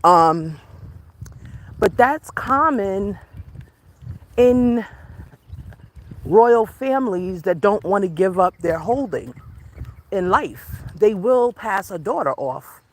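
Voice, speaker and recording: A woman's voice: medium pitch, takes it slowly, long pauses. Chatty woman livestreaming on an outdoor walk. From United States. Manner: chatty, rambling, animated, talks to viewers. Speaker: livestream host. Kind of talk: casual livestream chat while walking a dog outdoors. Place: outdoors on a walk, rural or natural setting.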